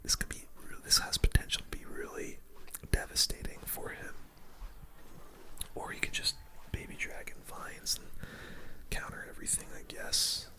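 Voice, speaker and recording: A game streamer whispering casual, slangy ASMR commentary in a breathy, unvoiced voice close to the microphone. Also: normal speed, long pauses, rough recording. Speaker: gaming video host.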